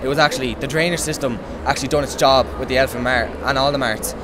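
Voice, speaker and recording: A teenage boy with an Irish accent talking quickly and colloquially about his project. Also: mid-range voice, steady tone, background noise. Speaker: student exhibitor.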